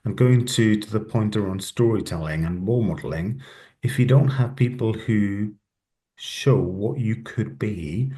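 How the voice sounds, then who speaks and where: A man's voice: fairly low pitch, a little slow, warm tone. A man from West Wales with a Welsh accent, speaking earnestly as a webinar panelist. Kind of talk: casual panel discussion.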